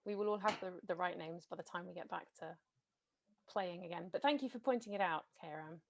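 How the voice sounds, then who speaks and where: A woman with a somewhat low voice runs a tabletop game over a video call. She talks in a chatty, organising way. Her voice is a little hoarse from a long day of talking. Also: fairly quick. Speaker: game master and host. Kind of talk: casual chat on a video call.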